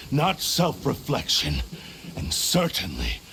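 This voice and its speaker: A haughty cursed-blade spirit for a video game. The delivery is slow and menacing, with wide dramatic swings in pitch and a creaky edge. The words are archaic, proud and bitter. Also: male voice, huge pitch swings, background noise, heavily acted. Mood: cold defiance.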